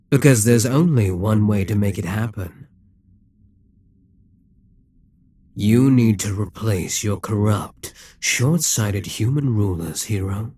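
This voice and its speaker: Man voicing a slow, husky, domineering Demon King. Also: fairly low pitch, dark tone, dry recording, heavily acted. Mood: cold, domineering. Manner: commanding, condescending, deliberate. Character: immortal Demon King, male, cold, calculating, domineering tyrant. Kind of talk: ASMR audio roleplay, dramatic monologue.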